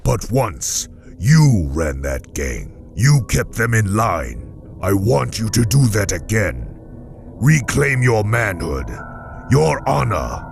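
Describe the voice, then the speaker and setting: A man playing a menacing, imperious mastermind in an audio drama, speaking formally and deliberately as he commands and persuades. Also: on the low side, sing-song, gravelly, heavily acted. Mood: commanding, persuasive. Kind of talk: audio drama villain acting. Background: music.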